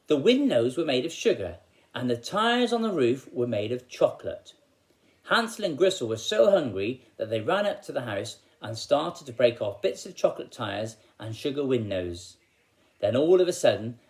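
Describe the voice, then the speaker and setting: A man reading aloud as a storyteller for children, in an expressive style. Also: medium pitch.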